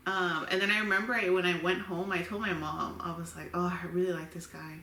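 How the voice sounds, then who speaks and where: A woman's voice: fairly low pitch, fairly even intonation, brisk, bright, forceful tone. A woman in her late twenties from Los Angeles, co-hosting a couple's Q&A vlog and telling stories in an easygoing, giggly way. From Los Angeles, USA. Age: 20s. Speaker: vlogger co-host. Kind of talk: casual couple Q&A chat. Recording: echoey room with faint hiss.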